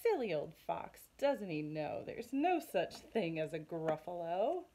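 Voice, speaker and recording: A woman's voice: medium pitch, big pitch swings, a little slow, darkish tone, slightly rough, heavily acted. A woman playing a clever, cheeky little mouse. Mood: smug. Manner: polite, matter-of-fact, teasing. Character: small clever brown mouse, calm and bold.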